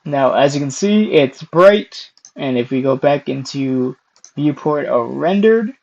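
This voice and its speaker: Hoarse young man with gravelly voice. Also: mid-range voice, lively intonation, measured pace, a little mellow, lo-fi sound. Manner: casual, many fillers, clears throat often. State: losing his voice, hoarse. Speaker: tutorial host. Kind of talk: screen-recorded software tutorial.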